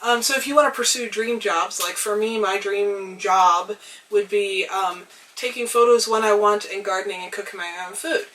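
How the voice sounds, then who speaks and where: A young woman getting over a cold, her voice a little hoarse, talking thoughtfully to the camera with frequent pauses and ums. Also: mid-range voice, fairly bright tone, far from the mic, low-quality recording. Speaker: vlogger. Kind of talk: reflective casual talk to camera. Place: tiny house.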